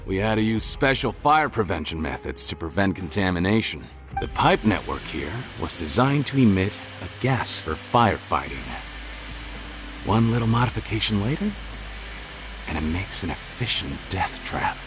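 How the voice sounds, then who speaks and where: A sneering scheming villain. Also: male voice, deep voice, sing-song, moderate pace, music in the background, low-quality recording. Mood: gloating. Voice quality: sneering, mocking laughs. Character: scheming disfigured male criminal boss, cruel. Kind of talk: video game voice acting.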